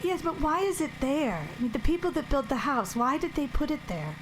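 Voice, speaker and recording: A woman's voice: high voice, big pitch swings, fairly quick, noisy background. An actress playing a troubled, haunted woman in an audio drama, hesitant yet pressing with her questions. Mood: insistent, anxious. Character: troubled, haunted woman recovering from addiction, insistent and uneasy.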